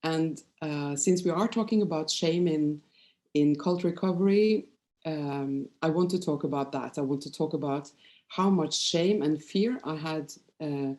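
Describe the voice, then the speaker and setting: A woman's voice: sits low, measured pace, warm tone. A woman in her fifties with a Norwegian accent, giving an informal, personal presentation in a hesitant, candid manner. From Norway. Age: 50s.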